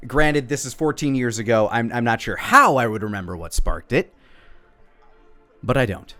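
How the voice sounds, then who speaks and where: Male commentator, casual creator look-back, bright animated voice with faint music behind. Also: medium pitch, moderate pace. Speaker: creator reviewing own parody episode.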